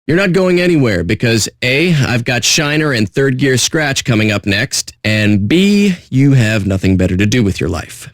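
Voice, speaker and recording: An actor playing a surly, sarcastic radio DJ in a video game, with deadpan, contemptuous patter. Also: male voice, mid-range voice, moderate pace, few pauses, dry recording, clean studio sound, lightly acted. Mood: deadpan, smug.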